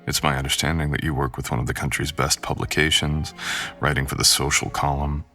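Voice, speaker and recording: Male actor as mysterious rich estate host, rock-bottom pitch, crisp forceful tone, suave, possessive, formal and courtly, ASMR roleplay. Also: flat delivery, brisk, faint music behind, full character voice. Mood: formal, inviting. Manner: formal, courtly, old-fashioned phrasing. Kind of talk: boyfriend ASMR audio roleplay.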